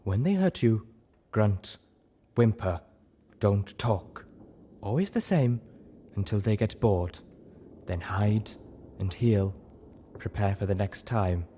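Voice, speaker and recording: Man playing a scarred zebra mare, weary and haunted, low heavy voice, halting commands, audio drama, noisy recording. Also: lively intonation, dark tone. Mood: grim, hushed. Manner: grave, halting short commands.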